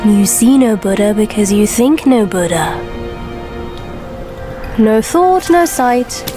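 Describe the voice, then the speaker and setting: A woman's voice: medium pitch, lively intonation, slow, music in the background. A woman voicing a childlike, serene and teasing little monk boy in a video game, playful and preachy in riddles. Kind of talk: video game cutscene acting.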